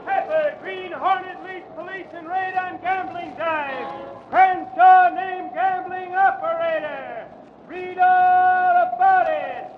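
An actor playing a shouting street newsboy hawking papers with drawn-out calls, in 1940s radio drama style. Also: male voice, very high voice, fairly even intonation, some chatter behind. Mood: urgent hawking. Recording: tinny, thin old radio recording, street bustle.

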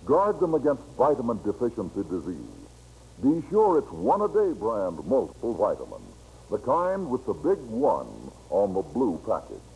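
Mellow, animated American male radio announcer. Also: lowish voice, normal speed. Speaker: commercial announcer. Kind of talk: scripted old-time radio advertisement, earnest pitch. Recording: noisy vintage radio broadcast recording.

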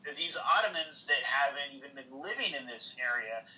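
A man's voice: medium pitch, animated, moderate pace, bright, forceful tone, distant mic, low-quality recording. A man in a casual livestream conversation, rambling and swearing, with lots of "like" fillers. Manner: rambling, heavy on "like" fillers, profane. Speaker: livestream guest.

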